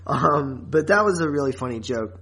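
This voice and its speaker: A man's voice: on the high side, animated, unhurried, bright, forceful tone. A man co-hosting a podcast, chatting casually about a series in a slangy, conversational way. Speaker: podcast co-host. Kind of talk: casual chat reviewing a TV series.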